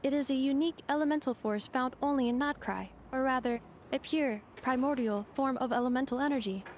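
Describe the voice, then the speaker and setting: A woman's voice: high-pitched, brisk, a little hiss or hum, natural voice. A woman voicing a polite, analytical robot girl in a video game, speaking formally and earnestly as she explains. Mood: informative, calm. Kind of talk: video game character dialogue.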